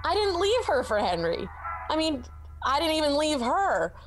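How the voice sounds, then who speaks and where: A woman's voice: high voice, sing-song, moderate pace, faint music behind, poor audio. A woman with a Canadian accent plays a confused, sarcastic young woman in therapy, deflecting and muttering asides. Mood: defensive. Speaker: therapy patient. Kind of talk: student radio comedy acting.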